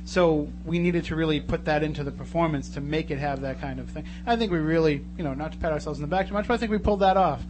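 Higher-voiced male radio host, noisy recording. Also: quick pace, hardly any pauses. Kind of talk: casual live radio interview. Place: radio studio. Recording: radio studio, loud noise and hum.

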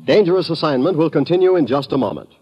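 A man's voice: on the high side, animated, quick pace. An American man announcing a show in a dramatic, proclaiming 1950s radio style. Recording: old radio broadcast with loud music.

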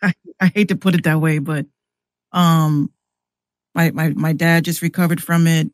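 A woman's voice: low voice, fairly even intonation, moderate pace, no echo, clean studio sound. An American woman chatting casually as a podcast guest, informal and a bit hesitant, often repeating words. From New York City, United States. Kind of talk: casual conversation.

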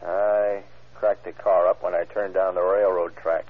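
Tough, sly male hold-up man, slangy and curt, very low husky voice, vintage radio crime drama. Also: little pitch movement, unhurried. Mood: unrepentant, offhand. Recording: tinny, muffled old radio recording.

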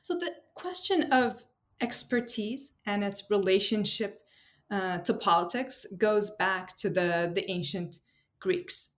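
Academic guest, woman, 30s, from Turkey; measured, reflective, pauses with ums. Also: mid-range voice. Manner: thoughtful, many ums and restarts. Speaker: political science professor, guest. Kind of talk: academic interview answers.

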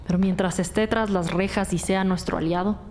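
A woman's voice: medium pitch, fairly even intonation, brisk, a little mellow, a little hiss or hum, close to an everyday voice. A woman playing a stern, short-tempered police detective in an audio drama, curt and sarcastic. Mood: firm, determined. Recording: music bed under the voice.

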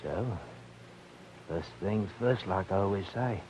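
Sly mocking heavy: low voice, drawn-out threats, noisy vintage radio recording. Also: male voice, a little mellow. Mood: taunting menace. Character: menacing, mocking male thug lurking in the dark. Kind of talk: 1940s radio drama acting.

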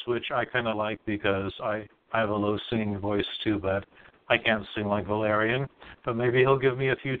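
A man's voice: medium pitch, clean tone. A man hosting a show, introducing and casually questioning a guest with fillers like "you know". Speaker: show host. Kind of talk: remote interview hosting. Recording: tinny call line.

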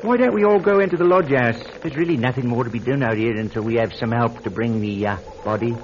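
An actor with a British accent playing a courteous, smoothly inquisitive policeman in an old radio mystery, speaking politely and formally. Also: mid-range voice, fast talker, lightly acted. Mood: sympathetic, polite. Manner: polite, formal, suave questioning. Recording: muffled, noisy old radio recording.

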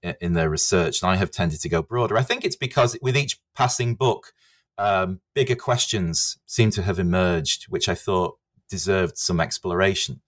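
A middle-aged man from England, a history professor speaking as a podcast guest with many pauses and restarts. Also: low voice. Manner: hesitant, many uhs and restarts. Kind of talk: podcast interview.

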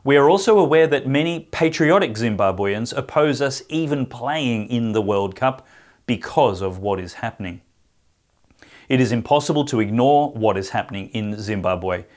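A male host of an interview show, speaking at an ordinary mid pitch. He reads aloud in a firm, measured way. His questions come out halting, with plenty of fillers and restarts. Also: lively intonation, a little mellow. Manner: firm read-aloud delivery. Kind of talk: podcast interview.

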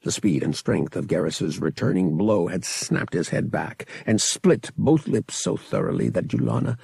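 Male audiobook narrator: low, raspy, expressive and suspenseful, with big swings in intonation. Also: dry recording. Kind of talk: dramatic scripted storytelling.

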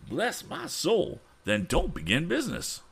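A man voicing an excitable, fussy older gentleman who exclaims in alarm, reading a storybook aloud. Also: on the high side, moderate pace, creaky, pitched above the performer's own voice, heavily acted. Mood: flustered. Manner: exclaiming, flustered.

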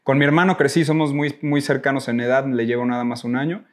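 A man's voice: mid-range voice, flat delivery, fast. A man from Mexico with a Mexican accent, telling personal stories casually as an interview guest, rambling and correcting himself. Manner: informal, self-correcting, rambling.

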